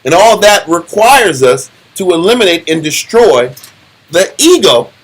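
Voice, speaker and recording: A middle-aged man leading a Bible study, teaching in an animated, preachy way and often repeating himself. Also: high voice, measured pace, rough recording.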